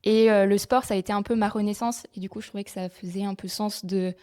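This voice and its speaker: A woman's voice: medium pitch, fairly even intonation, quick pace, warm tone. Young woman guest, friendly and open. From France. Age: 20s. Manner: open and friendly, with frequent filler hesitations. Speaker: guest, physiotherapist. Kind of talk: casual interview chat.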